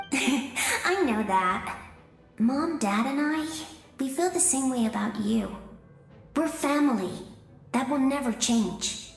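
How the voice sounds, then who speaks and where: A woman voicing an affectionate, cheerful young woman, a devoted little sister in a video game, with tender, expressive delivery. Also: high voice, slow pace, gravelly, pauses often, reverberant, faint music behind, full character voice. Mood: loving.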